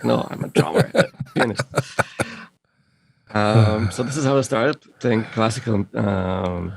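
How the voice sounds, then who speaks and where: A middle-aged man from Moldova with a mixed Russian and Israeli accent, talking haltingly in a casual interview, with many fillers and restarts. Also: on the low side, vocal fry, no room sound. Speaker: guest, audio company founder.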